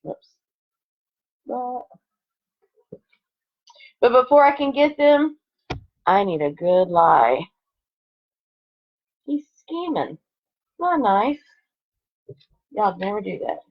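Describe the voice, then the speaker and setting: A woman's voice: a little high, big pitch swings, extremely slow, long pauses. A woman, a second grade teacher, reading aloud to children online with chatty asides, in a lo-fi home recording. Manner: chatty asides between expressively read story lines.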